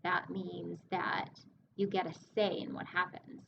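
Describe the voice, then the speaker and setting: A woman librarian reading a picture book aloud to children, expressive and explanatory, pausing to speak to her young listeners. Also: on the low side, gravelly, lo-fi sound, background noise. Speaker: children's librarian hosting story time.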